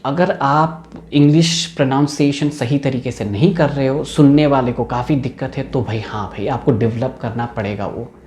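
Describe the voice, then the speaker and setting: A man's voice: mid-range voice, normal speed, few pauses, darkish tone, reverberant, slight background noise. Chatty Indian man teaching English. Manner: chatty, mixes English into the talk. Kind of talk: casual YouTube English lesson.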